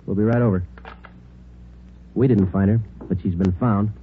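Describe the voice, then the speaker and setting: An American man playing a terse, world-weary police sergeant in a 1940s radio drama, clipped and deadpan. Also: lowish voice, moderate pace, narrow, tinny audio, slight background noise, lightly acted. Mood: matter-of-fact. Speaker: lead actor and narrator. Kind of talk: 1940s radio detective acting. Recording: old 1940s radio recording, music behind.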